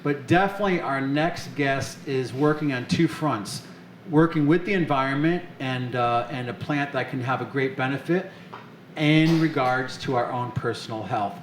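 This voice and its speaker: A man's voice: mid-range voice, normal speed. A man hosting a live show on stage, chatty and upbeat, with many fillers. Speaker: host. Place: off-center stage of an arts center, live audience. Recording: echoey room with faint noise.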